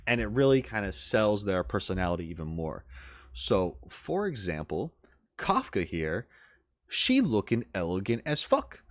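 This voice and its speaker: A man's voice: medium pitch, dry recording, clean studio sound. A man casually reacting to game trailers, rambling with lots of fillers, swearing now and then and getting enthusiastic. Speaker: reaction video creator.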